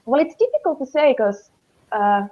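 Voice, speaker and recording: A young woman in her twenties from Finland, a native Finnish speaker with a Finnish accent, answering interview questions over a video call and often pausing to hunt for English words. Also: high voice, very animated. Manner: hesitant, searching for English words, many fillers. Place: small room at home, indoors. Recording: dull lo-fi video call audio.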